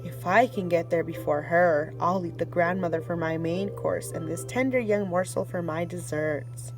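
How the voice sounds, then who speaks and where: A sly, scheming storybook wolf who speaks in a low, slow, hungry drawl, put on by a woman reading aloud. His words come out deliberate and menacing, savoring every thought of his next meal. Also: music in the background, natural voice.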